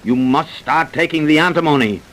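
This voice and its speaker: An actor playing a greedy, self-assured doctor who persuades briskly and smoothly, in old-time radio drama style. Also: male voice, fairly high pitch, fairly bright tone, close to an everyday voice. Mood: impatient, insistent. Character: scheming, smooth-talking, greedy doctor plotting fraud. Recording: vintage radio broadcast recording.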